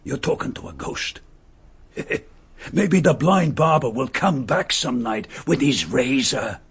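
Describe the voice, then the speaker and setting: Sneering impostor, murderous man, gravelly, radio drama. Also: medium pitch, lively intonation, takes it slowly, heavily acted. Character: murderous male impostor, mocking and bitter. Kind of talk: vintage radio drama acting.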